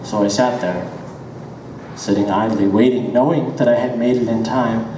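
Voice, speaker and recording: Guy doing a dramatic story reading, slow pauses, really echoey big room, mic far away. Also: lowish voice, fairly even intonation, dark tone. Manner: theatrical, deliberate, with drawn-out pauses and rhythmic repetition. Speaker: author reading own work aloud. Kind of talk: dramatic literary reading of first-person prose. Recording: very echoey hall, distant mic, background noise.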